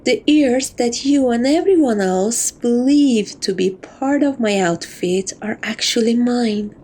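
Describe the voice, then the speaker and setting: A woman playing a seductive, possessive catgirl thief who dotes on her captive, speaking softly and teasingly in a romantic ASMR roleplay. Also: on the high side, slow pace. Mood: coy, confiding. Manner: soft, coaxing, teasing, doting.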